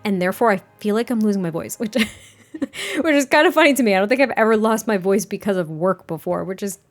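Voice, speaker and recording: A woman with a slightly raspy, mid-pitched voice talks casually and rambles a little, full of fillers. Soft music plays faintly underneath her voice-over. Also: lively intonation, brisk. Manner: chatty, rambling, frequent fillers and laughs. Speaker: game builder narrating a speed build. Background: soft background music.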